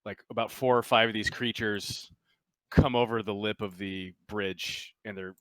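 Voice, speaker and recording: A man's voice: on the low side, fairly even intonation, measured pace, no room sound, rough recording. A man running a tabletop roleplaying game as game master, explaining rules and narrating scenes conversationally.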